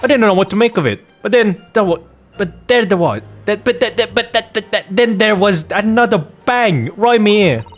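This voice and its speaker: A man's voice: sits high, animated, normal speed, soft background music, voice pushed up. A man voicing a cocky young street-urchin girl turned detective in a video game, using street slang. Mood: cocky, excited.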